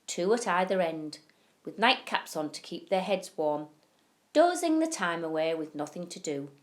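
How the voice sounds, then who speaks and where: A woman with a slightly low voice narrates a story for young children. She takes it very slowly and deliberately, stretching key words for emphasis like a teacher reading to pupils. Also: a little mellow.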